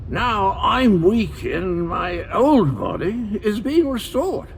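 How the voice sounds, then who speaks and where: An actor playing a weakened, genial old knightly spirit in a video game, halting and rambling with quaint gentlemanly phrasing. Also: male voice, sits high, big pitch swings, gravelly, slight background noise, heavily acted. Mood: weak, regretful.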